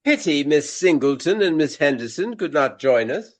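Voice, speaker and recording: An actor playing a flamboyant, vain Regency English stage actor with pompous, formal old-fashioned speech in a radio play. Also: male voice, fairly high pitch, sing-song, normal speed. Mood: wistful, courteous.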